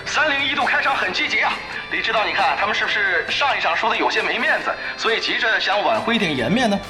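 Excitable high-voiced esports commentator. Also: male voice, fast talker, roomy sound, music in the background, low-quality recording. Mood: brisk, upbeat. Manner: emphatic, exclamatory, building to shouts. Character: excitable male esports play-by-play commentator, keen and dramatic. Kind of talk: live sports commentary in an audio drama.

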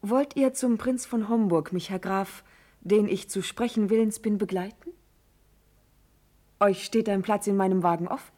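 A woman's voice: mid-range voice, normal speed, a little mellow, lightly acted. A woman playing a young noblewoman in a classical verse radio drama, resolute, urgent and tender, with formal declamatory diction. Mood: resolute, scheming.

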